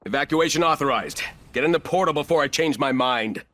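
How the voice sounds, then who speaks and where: Male actor as arrogant boardroom operator, bright forceful voice, slightly high for a man, clipped commands, cool condescension, military shooter game. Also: a little fast, natural voice. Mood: cold, commanding. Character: ruthless, arrogant corporate executive turned battlefield operator, adult man. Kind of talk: video game combat voice lines.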